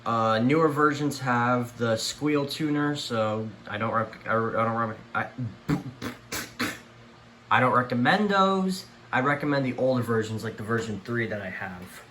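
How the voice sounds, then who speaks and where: A man giving a casual, unscripted show-and-tell, speaking haltingly and trailing off at the ends of his thoughts. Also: mid-range voice, bright, forceful tone, reverberant. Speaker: collector presenting a home video tour. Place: room at home among many radios. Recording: clear background noise and room ambience. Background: weather radio broadcast playing.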